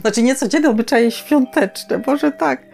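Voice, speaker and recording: A woman's voice: high voice, unhurried, creaky, over music. A woman co-hosting a podcast in casual conversation, rambling a little and repeating words as she thinks aloud. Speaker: podcast co-host.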